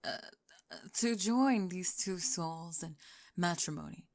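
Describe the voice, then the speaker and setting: A woman acts a polite female minister in an audio roleplay. Her voice is fairly low, and she speaks formally but hesitantly, as if uneasy with her duties. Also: unhurried, a little mellow, lightly acted. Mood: formal, faltering. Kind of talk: scripted ASMR roleplay acting.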